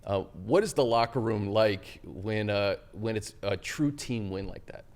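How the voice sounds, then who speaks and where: American male interviewer, somewhat low pitch, halting conversational sports-show questions. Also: darkish tone.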